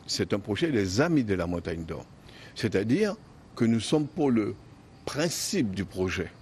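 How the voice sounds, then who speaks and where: A man who heads an association, giving a deliberate, emphatic interview statement. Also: mid-range voice, darkish tone, slight background noise. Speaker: association president.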